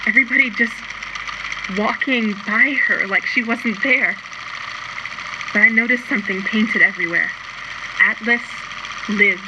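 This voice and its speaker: A woman's voice: mid-range voice, lightly acted. A woman playing a lonely, embittered young showgirl confiding in a plaintive, colloquial radio drama monologue. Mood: uneasy, hopeful. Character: scarred, lonely, embittered young showgirl keeping audio diary. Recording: dull lo-fi recording, loud background noise.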